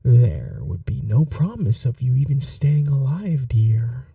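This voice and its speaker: A man voicing an ancient, cunning male vampire lord in a whispered ASMR roleplay, courtly and seductive, with a husky, half-whispered voice. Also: medium pitch, big pitch swings, dark tone, no room sound, studio quality, recorded from a distance, full character voice. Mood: predatory, menacing. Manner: courtly, slow and seductive, turning menacing.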